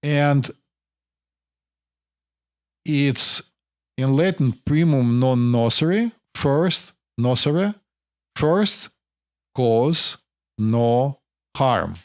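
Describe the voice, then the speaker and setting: A man's voice: mid-range voice, lively intonation, no echo, clean studio sound. Russian-accented male doctor explaining. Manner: explanatory, measured, interjects often. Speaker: physician host. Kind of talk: conversational podcast discussion.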